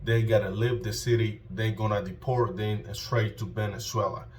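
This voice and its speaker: A Colombian man with a lowish voice hosts a casual vlog, recorded a little away from the mic. His Spanish-accented speech is slangy and informal, circling back over phrases with lots of "you know". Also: fairly even intonation, moderate pace, recorded from a distance, a little hiss or hum. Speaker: YouTube host.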